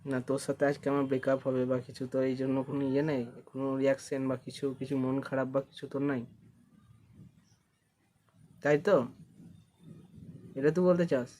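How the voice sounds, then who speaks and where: A man's voice: mid-range voice, level tone, brisk, pauses often, warm tone. A young man in a casual phone conversation, coaxing and repeating himself as he pleads. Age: young adult. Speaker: prank caller.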